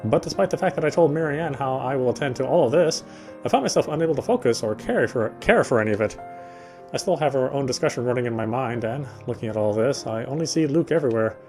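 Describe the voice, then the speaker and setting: A man's voice: medium pitch, expressive, quick pace, darkish tone, faint music behind. A man reading a visual novel's narration aloud as a let's player. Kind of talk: read-aloud narration of a game script.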